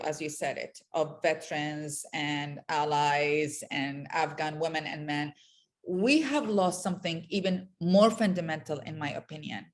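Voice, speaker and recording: A woman from Iraq answers as an interview guest at a very slow, deliberate pace. She is passionate and blunt, leaning hard on words and repeating them for emphasis. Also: lowish voice. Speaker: interview guest, women's rights advocate.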